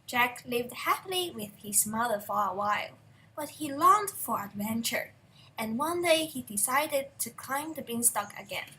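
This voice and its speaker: A woman's voice: fairly high pitch, expressive, a little slow, bright, forceful tone, a little hiss or hum, poor audio. A young female reader narrating a fairy tale aloud from a book. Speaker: storybook reader.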